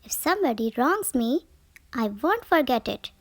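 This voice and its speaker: A woman voicing a touchy, grudge-holding sour grape in a children's storybook, with expressive, theatrical grumbling. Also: sits high, normal speed, dry recording, exaggerated voice. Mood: grumpy, indignant. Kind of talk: children's picture book read-aloud.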